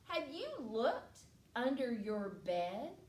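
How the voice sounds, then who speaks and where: A woman's voice: fairly high pitch, very animated, slow, darkish tone. A woman voicing a helpful, sympathetic parent in a children's story, advising and consoling. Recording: distant roomy recording, faint noise.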